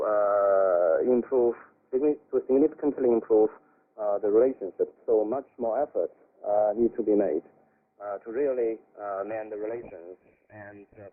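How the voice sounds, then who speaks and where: A man speaking as a professor interviewed on radio, giving formal political analysis in a deliberate, hesitant way. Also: lowish voice. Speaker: expert guest, professor. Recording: tinny narrow-band shortwave radio.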